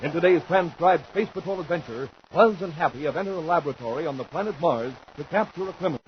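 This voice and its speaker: A man announcing and narrating in emphatic, deliberate 1950s radio style, scripted and dramatic. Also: a little high, lively intonation. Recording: dull 1950s radio recording, faint noise.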